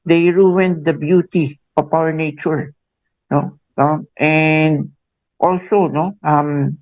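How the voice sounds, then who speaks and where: A Filipino man with a gravelly, fairly high voice, hosting a radio talk show. He speaks slowly and haltingly, tags "no?" onto his phrases, and sounds dull and lo-fi over a dry broadcast line. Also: fairly even intonation, no echo. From Philippines. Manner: halting, many fillers, tag "no?" after phrases. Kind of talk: radio interview.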